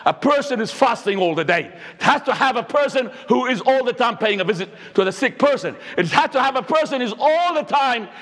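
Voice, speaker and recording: A man, preaching a sermon as an imam, swinging between high and low. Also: animated, fairly quick, few pauses, fairly bright tone. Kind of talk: Friday sermon. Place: mosque. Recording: echoey hall.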